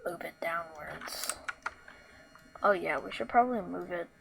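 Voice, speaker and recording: A woman with a squeaky voice talking through a casual screen tutorial, rambling with lots of fillers and restarts. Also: a little slow, creaky, background noise. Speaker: tutorial maker.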